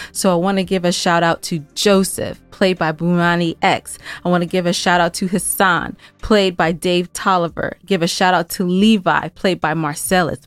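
A woman's voice: on the low side, clear, resonant tone. A woman co-hosting a casual recap podcast, gushing and repeating herself for emphasis. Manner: effusive, gushing, emphatic repetition. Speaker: podcast co-host and scriptwriter.

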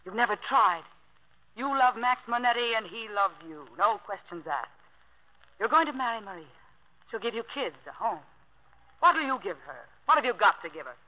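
Cool, sharp-tongued woman played by an actress on vintage radio. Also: fairly high pitch, animated, moderate pace, lightly acted. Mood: accusing. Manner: biting, sarcastic, self-possessed. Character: cool, strong-willed young woman, sharp-tongued and weary of her lover. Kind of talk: 1950s radio drama acting. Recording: muffled vintage radio broadcast.

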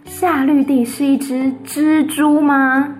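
A woman's voice: high voice, level tone, takes it slowly, faint music behind, voice pushed up. A woman voicing a puzzled, worried farm mother who asks incredulous questions. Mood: baffled.

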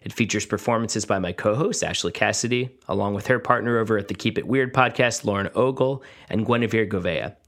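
A man introduces a podcast episode as its host. His voice is on the low side, and he reads from a script. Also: a little fast, few pauses, fairly bright tone.

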